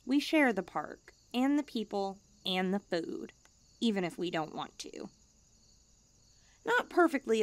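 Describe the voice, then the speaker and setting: A woman's voice: mid-range voice, dry recording. A woman voicing a cute, self-important young stray catgirl in a languid ASMR roleplay. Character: cute, smug, pampered, drowsy young female stray catgirl.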